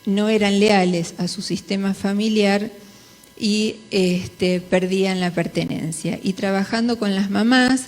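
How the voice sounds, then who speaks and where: Slow-paced Argentine woman in a reverberant hall. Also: mid-range voice, a little mellow. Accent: Argentine Spanish. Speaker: conference speaker, jurist. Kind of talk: conference presentation. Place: large conference hall.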